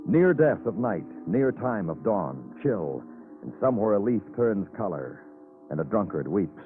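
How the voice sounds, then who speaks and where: An actor playing a world-weary police lieutenant, narrating an old radio noir drama with solemn, deliberate phrasing. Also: male voice, fairly low pitch, very animated. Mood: brooding, poetic. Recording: tinny old radio recording, music behind.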